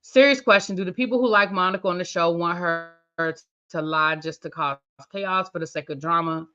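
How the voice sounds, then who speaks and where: A woman's voice: fairly low pitch, normal speed, sharp tone, lo-fi sound. A woman hosting a casual reality-TV review livestream, reading an article aloud and breaking off for chatty asides. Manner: casual, chatty asides between read-out passages. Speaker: reality TV reviewer, channel host.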